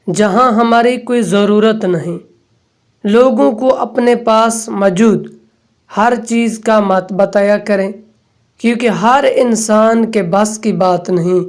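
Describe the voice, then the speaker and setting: A woman reading out scripted quotations as a narrator, in measured, deliberate phrases with pauses. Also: medium pitch, darkish tone. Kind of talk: scripted recitation of Urdu quotes.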